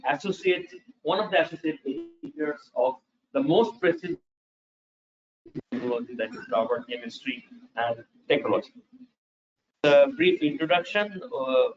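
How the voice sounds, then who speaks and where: A middle-aged man introduces a guest speaker at a conference. He hesitates often and fills the gaps with "uh," his voice sitting a bit high. The recording is dull and carries faint background noise. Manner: hesitant, full of "uh" fillers. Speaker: session chair introducing a speaker.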